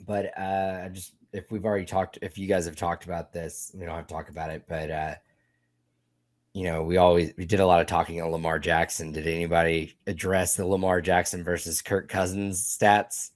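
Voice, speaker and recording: Low-voiced, hesitant man on a podcast. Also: level tone, fairly quick. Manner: hesitant, stammering restarts. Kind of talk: casual chat.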